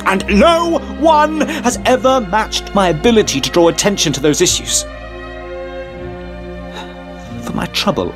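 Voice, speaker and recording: A male actor giving a mock-tragic dramatic reading as a self-pitying, aggrieved man, theatrical and overwrought. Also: a little high. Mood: tragic, bitter. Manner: theatrical, overwrought, aggrieved, swooping from hushed to outraged. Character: self-pitying, melodramatic man lamenting betrayal and ingratitude. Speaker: trained professional actor. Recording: voice over mournful classical music.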